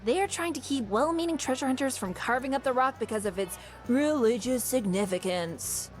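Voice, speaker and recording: Casting: female actor for cocky treasure hunter in a visual novel, scornful young woman, slightly high pitch, self-assured. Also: moderate pace, faint music behind, close to an everyday voice.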